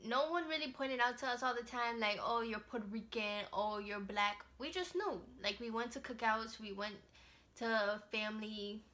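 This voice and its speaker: A young woman talks straight to her viewers, loose and slangy, with easy laughs between stories. Her voice sits a little high and sounds bright and forward. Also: moderate pace. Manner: chatty, slangy, laughs often. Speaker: vlogger. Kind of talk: casual storytelling to camera.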